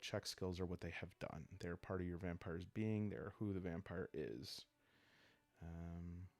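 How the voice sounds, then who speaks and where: A man calmly reading game rules in an ASMR style, soft-spoken and unhurried, with casual asides as he thinks aloud. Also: low-pitched, gravelly, dry recording. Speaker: host of a solo roleplaying game playthrough. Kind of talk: calm ASMR rulebook reading with casual commentary.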